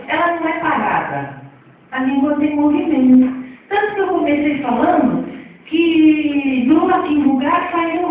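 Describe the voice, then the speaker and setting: A woman with a São Paulo accent lectures in a fairly high voice, checking in with her listeners every few phrases. She is heard from across a very echoey hall. The sound is thin and phone-like, with a faint hiss underneath. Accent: São Paulo Portuguese. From Brazil. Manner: chatty, checks in often with tags. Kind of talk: classroom lecture. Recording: tinny distant echoey hall recording.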